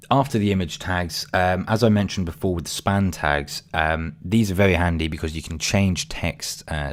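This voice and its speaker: A man, voice getting croaky, talking through a coding tutorial casually with many ums and apologies. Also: extremely low pitch. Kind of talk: screen-recorded coding tutorial.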